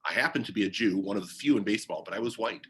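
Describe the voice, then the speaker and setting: A man's voice: fairly low pitch, expressive, very fast, a bit bright. A man reading a biography aloud as narration, in the style of a book for young listeners.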